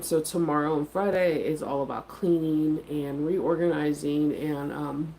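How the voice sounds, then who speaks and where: A woman's voice: deep voice, a little mellow, a little hiss or hum. A middle-aged woman with a head cold, sounding congested as she chats casually to her viewers in a rambling, filler-heavy way. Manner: rambling, chatty, many fillers. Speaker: vlogger and craft vendor. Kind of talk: casual morning chat to viewers.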